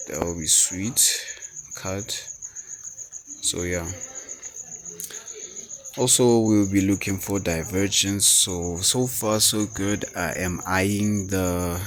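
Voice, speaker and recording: A man's voice: deep voice, steady tone, slight background noise. A man from South Africa, not a native English speaker, thinking aloud as he walks through trading charts as a forex mentor. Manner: thinking aloud, halting with fillers and restarts. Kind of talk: screen-share trading walkthrough.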